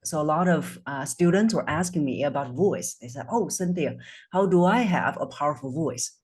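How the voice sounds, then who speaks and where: A woman in her forties, a voice coach based in Singapore, answering interview questions in a measured, earnest way, over a video call. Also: low voice, lively intonation, darkish tone, breathy edge.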